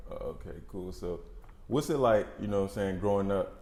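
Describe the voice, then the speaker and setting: A male interviewer with a low voice rattles off casual, slang-filled questions at a quick pace. The sound is roomy and echoey, and his phrasing is loose and profane. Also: darkish tone, slight background noise. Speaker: interviewer, host.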